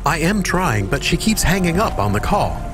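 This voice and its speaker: High-pitched, naive AI companion. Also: male voice, sing-song, normal speed, music in the background. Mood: earnest, literal. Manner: literal, innocent, simply phrased. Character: naive, childlike male AI companion, earnest and anxious. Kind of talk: video game voice acting.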